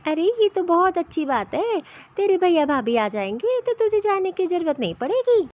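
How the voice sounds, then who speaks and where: Very high-pitched fussing cartoon mother-in-law voiced by a woman. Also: big pitch swings, moderate pace, dull audio. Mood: pleased, bossy. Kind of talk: Hindi comedy cartoon story dialogue.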